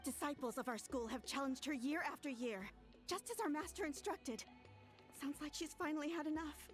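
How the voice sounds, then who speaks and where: Dry, matter-of-fact priestess voiced by a woman, high-pitched, for a game. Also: moderate pace, soft background music, lightly acted.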